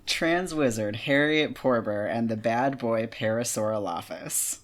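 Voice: mid-range voice, measured pace. A podcast co-host chatting casually and frankly, in an informal way peppered with filler words like "like". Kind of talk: casual chat.

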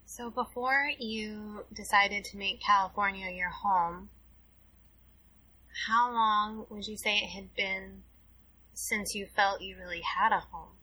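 Host, female, curious interviewer, bright edge, heard from a distance. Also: mid-range voice, steady tone, slow pace, slightly rough. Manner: curious, probing. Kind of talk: interview questions.

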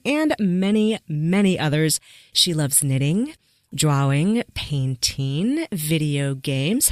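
A woman's voice: on the low side, no room sound, clean studio sound. A woman hosting a podcast interview, chatty and enthusiastic, laughing often as she asks questions. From American Southwest. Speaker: podcast host. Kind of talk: casual interview.

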